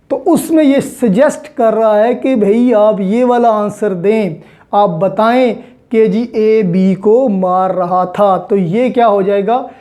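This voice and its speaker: A woman's voice: mid-range voice, dark tone. A woman with a Pakistani accent giving an explanatory law lecture, mixing in English terms and repeating points in a didactic way.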